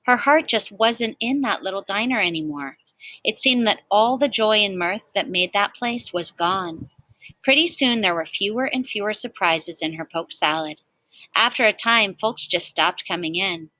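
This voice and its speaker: A woman reads a picture book aloud as a teacher would for young children. Her voice is bright and forward, recorded dry with hardly any room sound. She tells the story expressively and speaks directly to her listeners. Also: medium pitch, expressive, moderate pace, dry recording, poor audio.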